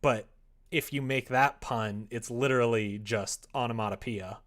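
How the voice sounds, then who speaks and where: A man's voice: mid-range voice, moderate pace, fairly bright tone. A man chatting casually on a live stream, rambling and self-amused as he thinks aloud. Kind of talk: casual chat on a live creative-writing stream.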